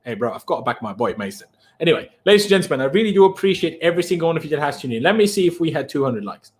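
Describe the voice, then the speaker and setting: A young man with a German accent, a native German speaker, hosting a casual football live stream and answering viewer questions in a chatty, animated way. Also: fairly high pitch, rapid-fire. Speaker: football channel host.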